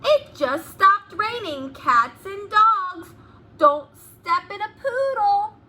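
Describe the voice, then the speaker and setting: A cheerful, silly storybook schoolteacher, as a woman reader puts her on. Her voice is high and very lively, and she makes announcements slowly in drawn-out, teacherly tones. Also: heavily acted. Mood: cheerful announcing.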